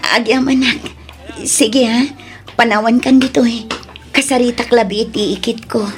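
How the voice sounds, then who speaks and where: A woman playing a soft-hearted young single woman in an Ilocano audio drama, with music behind her. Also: fairly high pitch, steady tone, moderate pace, natural voice. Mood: shy. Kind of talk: Ilocano radio-style drama acting.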